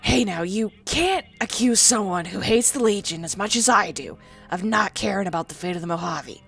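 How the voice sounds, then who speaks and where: A woman's voice: medium pitch, animated, moderate pace, creaky, a bit bright, slightly rough, faint music behind, exaggerated voice. A woman voicing a sardonic, crude young female ghoul, a former psychology student, in a game dialogue. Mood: wry. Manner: blunt, crude and defensive. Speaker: companion character. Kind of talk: fan-voiced game dialogue.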